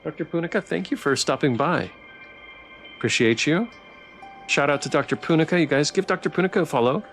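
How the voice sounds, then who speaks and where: A man's voice: a little high, fairly quick, a bit bright. Man streaming a story game over music. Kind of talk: live playthrough, reading story text and chat aloud. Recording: music behind the voice.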